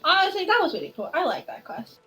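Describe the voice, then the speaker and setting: A woman game streamer with a high voice. She talks informally and haltingly, reacting as she goes. Game music plays faintly behind her. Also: big pitch swings, pushed and bright. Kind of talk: casual game reaction chat.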